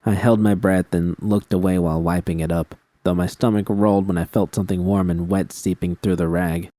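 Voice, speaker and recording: A man's voice: deep voice, steady tone, fairly quick, warm tone, no echo. A man reading scripted first-person fiction as an audiobook narrator.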